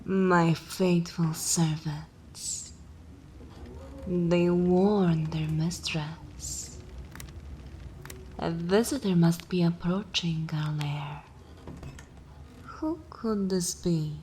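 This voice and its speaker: A woman playing an ancient, haughty vampire mistress in a dark fantasy ASMR roleplay, languid and theatrical with disdain, lapsing into whispers. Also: lowish voice, steady tone, very slow, long pauses, slight background noise. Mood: brooding, languid.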